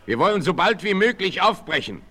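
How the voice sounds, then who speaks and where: A man's voice: sits high, sing-song, a little fast, bright, forceful tone, husky, exaggerated voice. An actor playing a courteous, decisive frontiersman with a formal, hearty manner in a 1960s German audio drama. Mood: resolute. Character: confident frontier hero, adult male, courteous and decisive.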